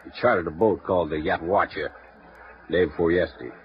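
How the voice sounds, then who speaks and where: Actor playing a bluff, deep-voiced small-town editor. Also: male voice, brisk, natural voice. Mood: gruff. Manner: gruff, folksy, blunt. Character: bluff, red-faced male small-town newspaper editor. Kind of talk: 1950s radio drama acting. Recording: muffled vintage radio broadcast with faint hiss.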